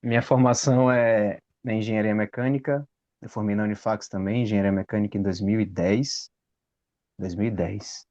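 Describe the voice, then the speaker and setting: A man's voice: on the low side, steady tone, moderate pace, a little mellow. Man, thirties, guest, rambling chat, muffled sound. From Salvador, Brazil. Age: 30s. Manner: rambling, frequent "né?" tags. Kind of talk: casual storytelling.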